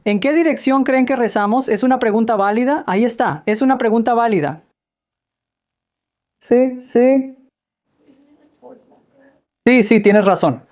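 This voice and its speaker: A woman's voice: a little high, unhurried, long pauses, darkish tone. A woman giving a question-and-answer lecture, explaining patiently and quizzing her listeners with light jokes. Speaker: speaker answering audience questions on Islam. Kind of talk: Q&A lecture in Spanish voice-over.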